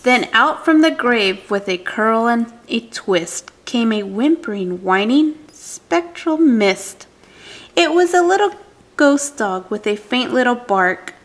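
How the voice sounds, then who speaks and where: High-voiced woman reading a storybook, unhurried. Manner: deliberate, dramatic phrasing with questions to a child listener. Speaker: adult reading a picture book aloud to a child. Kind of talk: expressive storybook read-aloud for a young child.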